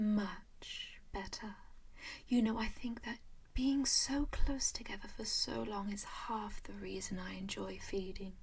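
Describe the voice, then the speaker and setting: A woman voicing a composed, doting female vampire noble in a whispered roleplay, gently coaxing and possessive. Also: medium pitch, husky, full character voice. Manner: soft whisper throughout; gently possessive and coaxing, calls listener darling and dear.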